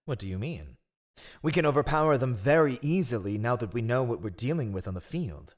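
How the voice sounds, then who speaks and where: A man's voice: medium pitch, expressive, fairly quick, close to an everyday voice. A man playing a ruthless, sardonic spy master who advises a monarch with silky, mocking courtly formality in a fantasy audio roleplay. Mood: insistent.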